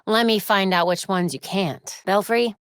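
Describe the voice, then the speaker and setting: A woman playing a sharp, determined young American vigilante heroine in a video game, with a wry, quippy delivery. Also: mid-range voice, measured pace, clear, resonant tone, no echo, studio quality, natural voice. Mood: thoughtful. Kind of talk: acted video game voice lines.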